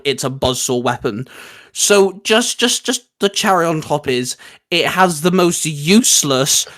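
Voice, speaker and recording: A man's voice: a little high, a bit bright. A man co-hosting a casual fan chat, talking in an enthusiastic, rambling way. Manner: enthusiastic, rambling, occasionally swearing. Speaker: co-host.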